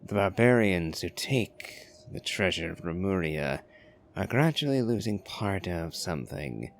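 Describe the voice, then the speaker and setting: An actor playing a solemn, formal philosopher in a video game, speaking in a measured way. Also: male voice, low voice, very animated, vocal fry, slight background noise, exaggerated voice. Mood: solemn, serene.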